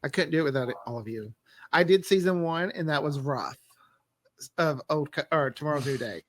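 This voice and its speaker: Male creator on a panel, fairly high voice, dry recording, casual and self-interrupting. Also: brisk, no echo. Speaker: audio drama creator, panelist. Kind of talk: casual chat.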